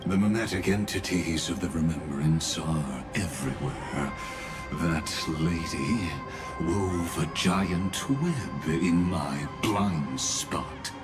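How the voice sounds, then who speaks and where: A cold, scheming genius from a fantasy game, his voice extremely low and very slow. He speaks with formal, ominous courtesy, every phrase measured, over clear background music. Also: lively intonation, heavily acted. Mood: calculating. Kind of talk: scripted video game dialogue.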